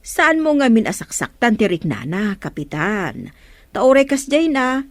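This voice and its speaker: Scolding village staffer, female, Ilocano accent, complaining, animated intonation, radio comedy drama, dry sound. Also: on the high side, measured pace, no echo. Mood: exasperated.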